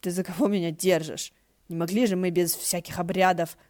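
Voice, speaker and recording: Woman as a sardonic, grumbling male ghost, low-pitched. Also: steady tone, brisk, darkish tone, close to an everyday voice. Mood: awkward, needling. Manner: snide, muttering.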